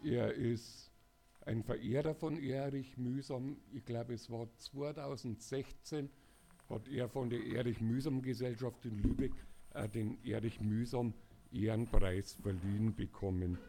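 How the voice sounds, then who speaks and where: An older man, hoarse, speaking casually and haltingly to a live audience as a singer-songwriter. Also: mid-range voice, steady tone, dark tone, a little hiss or hum. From Bavaria, Germany. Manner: halting, many filler sounds. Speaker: singer-songwriter introducing an event.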